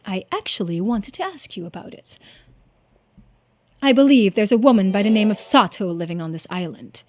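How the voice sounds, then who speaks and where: A woman's voice: medium pitch, expressive, normal speed, no echo, natural voice. A woman voicing a haughty, easily offended businessman with pompous, formal manners in a video game. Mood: smoothly polite. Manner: pompous, formal, indignant phrasing. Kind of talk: video game character voice-over.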